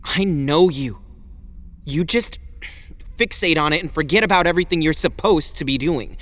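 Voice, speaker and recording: A man's voice: fairly high pitch, normal speed, a bit bright, natural voice. An actor playing an exasperated, protective young man in an audio drama, speaking bluntly and informally. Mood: exasperated, concerned.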